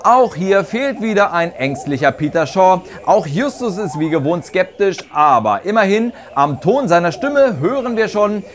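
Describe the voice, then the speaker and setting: A man's voice: high-pitched, very animated, normal speed, hardly any pauses, a crowd in the background. A man in his thirties hosting a fan channel, delivering scripted ranking commentary. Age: 30s. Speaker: host of a radio-play fan channel. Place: small indoor home studio. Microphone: lapel mic. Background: music.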